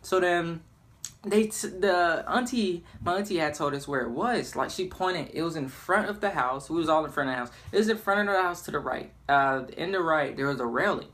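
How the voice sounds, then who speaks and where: A young man talks in an informal, rambling way, like a gamer telling stories to viewers. His delivery is casual and loose, with animated bits when he acts things out. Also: fairly high pitch, fairly quick. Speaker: YouTube gamer and storyteller. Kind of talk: casual storytelling over gameplay.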